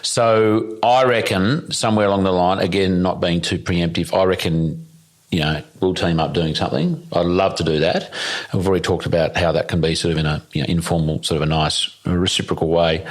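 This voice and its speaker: A man's voice: sits low, fairly even intonation, normal speed. An Australian man hosting a casual interview on his farm, talking in a loose, rambling way with lots of restarts. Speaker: podcast host and farmer.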